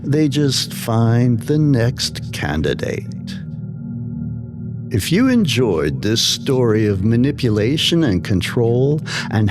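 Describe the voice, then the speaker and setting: A man tells a scripted horror story in the first person at a slow, deliberate pace. His mid-pitched voice moves expressively up and down between dramatic pauses. Faint music plays behind him. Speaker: horror story narrator and channel host.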